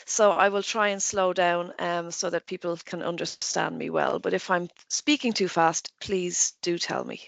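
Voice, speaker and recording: Irish woman with a gravelly low voice. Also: fairly even intonation, dry recording. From Ireland. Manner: chatty, quick, many ums and restarts. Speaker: guest lecturer, nurse educator. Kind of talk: presenting a webinar lecture.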